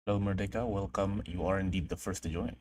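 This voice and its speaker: A man's voice: deep voice, fairly even intonation, fast, a little mellow. A young man from Indonesia, chatting casually on a livestream while he works, often mumbling to himself and trailing off. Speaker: streamer.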